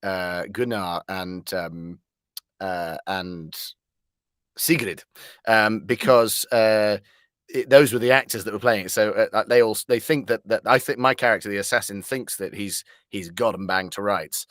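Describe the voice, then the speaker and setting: A man's voice: on the low side, measured pace, a bit bright, dry recording, clean studio sound. A man speaking casually as an interview guest, hesitant, trailing off and self-deprecating. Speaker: voice actor guest.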